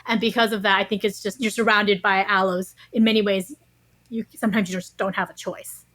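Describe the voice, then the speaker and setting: Medium-pitched woman explaining things conversationally. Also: level tone, fairly quick, fairly bright tone. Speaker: interview guest, author.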